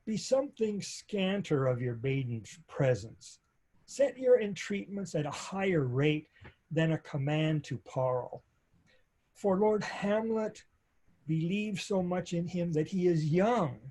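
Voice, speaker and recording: Husky, slow-spoken stern father played by a man. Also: a little high, warm tone, exaggerated voice. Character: stern, worldly-wise older father warning his daughter. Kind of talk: dramatic reading of Shakespeare.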